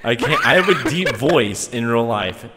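A man's voice: mid-range voice, expressive, normal speed, very noisy. A man joking and swearing in game voice chat, his voice run through autotune. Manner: goofy, swearing, breaks into song. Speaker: gamer recording his session.

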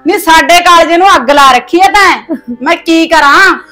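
A woman's voice: very high voice, moderate pace, pushed and bright, soft background music. A woman playing a harsh, taunting mother-in-law who scolds with sarcasm and folk sayings. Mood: scolding. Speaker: actor in a family skit. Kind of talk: domestic comedy-drama skit.

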